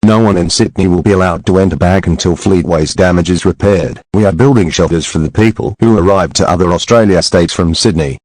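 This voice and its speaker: A man reading a government emergency alert in a stiff, even official delivery. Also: low-pitched, brisk, few pauses, no echo, rough recording.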